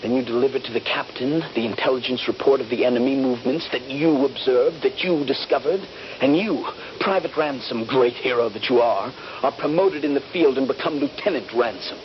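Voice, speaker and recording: An actor playing a trapped, wounded Union soldier, frightened and bitter, with intense, deliberate dramatic phrasing in a radio drama. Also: male voice, medium pitch, close to an everyday voice. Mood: bitter, accusing. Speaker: actor narrating and playing the lead. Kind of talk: radio drama acting with first-person narration. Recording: dull, noisy old radio broadcast.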